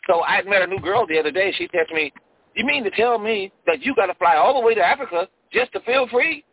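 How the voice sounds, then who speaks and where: A boastful caller with a put-on Mexican accent, forever calling everyone "my friend", as a man plays him in disguise. His voice comes through husky and squeezed down a phone line. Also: high voice, animated, quick pace, no room sound, pitched above the performer's own voice. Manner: repeats "my friend", playful bragging.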